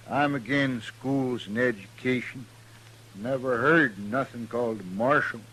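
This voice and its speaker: A man's voice: medium pitch, slow pace, fairly bright tone, slightly rough, rough recording. An actor playing a blunt, menacing backwoods mountain man with a rural Southern accent, in an old-time radio western. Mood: stubborn, surly. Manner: folksy, stubborn, plain-spoken. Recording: vintage radio drama recording.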